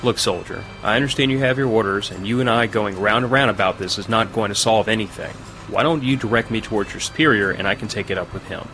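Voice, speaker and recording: An actor playing a firm mercenary leader who runs a supply caravan in an audio drama. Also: male voice, on the low side, quick pace, hardly any pauses, background noise, lightly acted. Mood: firm, diplomatic.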